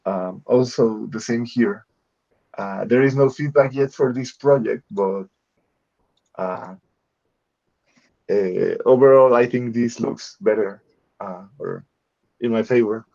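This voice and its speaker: A man's voice: lowish voice, slow, creaky, pauses often, mellow. A man giving an informal update to a coaching group as a freelancer on an online call. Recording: slightly dull video call audio.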